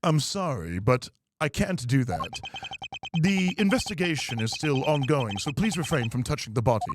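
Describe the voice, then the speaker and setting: A man voicing a composed, serious prosecutor in a video game, speaking in a measured, formal, precise way. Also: medium pitch, big pitch swings, noisy background, pitched below the performer's own voice, lightly acted. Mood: polite, firm. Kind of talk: video game dub played live.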